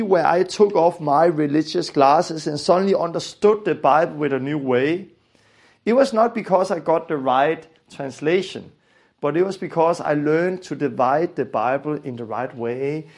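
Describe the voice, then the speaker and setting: A man's voice: a little high, vocal fry, a little mellow. A man from Denmark with a Danish accent, teaching a religious lesson earnestly and repeating phrases for emphasis.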